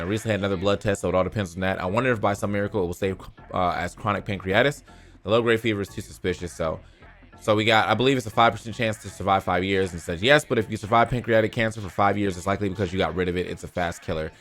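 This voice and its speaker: A man's voice: lowish voice, fairly bright tone. A man hosting a gaming news show, commenting casually and reading posts aloud in an informal, slangy way with free swearing.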